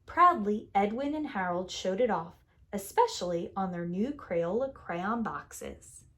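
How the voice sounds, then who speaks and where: A woman reading a picture book aloud like a preschool teacher, in a deliberate, expressive storytelling manner that leans on key words. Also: medium pitch. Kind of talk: children's story read-aloud.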